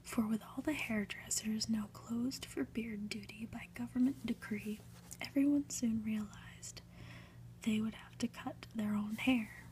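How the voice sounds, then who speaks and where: A woman reading a storybook aloud in a deliberate ASMR whisper. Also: a little high, steady tone, darkish tone, slight background noise, low-quality recording. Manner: whispering, slow, deliberate delivery with occasional asides. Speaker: ASMR reader.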